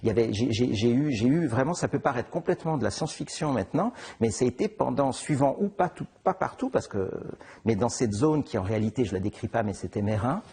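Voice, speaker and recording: A middle-aged man from Switzerland, answering interview questions in a halting way, with many restarts and fillers. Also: mid-range voice, expressive, a little mellow, breathy edge. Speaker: interviewed author. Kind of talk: conversational interview.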